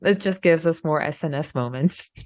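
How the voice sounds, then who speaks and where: A woman's voice: deep voice, moderate pace, darkish tone, no room sound. A woman co-hosting a discussion podcast, moving between prepared narration and conversational talk. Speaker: podcast co-host. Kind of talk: book discussion podcast, partly read summary.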